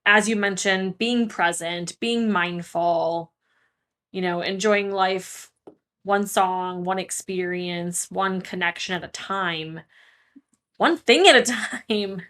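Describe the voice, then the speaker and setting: A woman's voice: mid-range voice, takes it slowly, a bit bright. Woman, mid-range voice, podcast co-host, casual reflective chat, thoughtful, encouraging, questioning.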